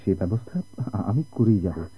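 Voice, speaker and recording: An actor playing an eager, stubborn sailor husband, pleading tenderly and dramatically in a radio play. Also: male voice, fairly low pitch, expressive, moderate pace, mellow, slightly rough. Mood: reassuring. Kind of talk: Bengali radio drama acting. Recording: muffled old radio drama recording.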